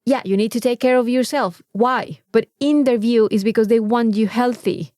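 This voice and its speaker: A woman chatting casually as a podcast co-host, animated and free with swearing. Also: fairly high pitch, moderate pace, no echo, clean studio sound. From Mexico. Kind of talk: casual chat.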